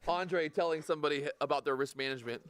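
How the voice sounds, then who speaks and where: Fast, profane man ranting. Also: a little high. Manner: blunt, profane, fast-talking. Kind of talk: heated casual chat.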